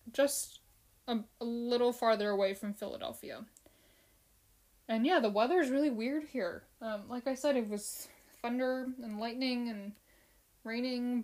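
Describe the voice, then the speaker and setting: A young woman from the Philippines hosting a casual solo podcast, chatting loosely with fillers and restarts. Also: fairly high pitch. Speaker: podcast host.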